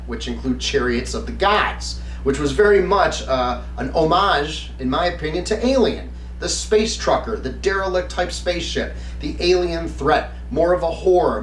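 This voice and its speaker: A man hosting a review, explaining to viewers in an enthusiastic but halting, emphatic way. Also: on the high side. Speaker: host of a game review channel. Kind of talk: semi-scripted review talk to viewers. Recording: roomy, distant mic with faint hum.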